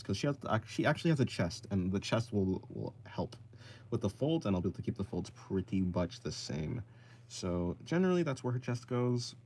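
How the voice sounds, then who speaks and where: A man's voice: on the low side, breathy edge. A man in his twenties chatting casually on a livestream while drawing, thinking aloud and answering chat in loose, restarting sentences. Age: 20s. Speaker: streamer.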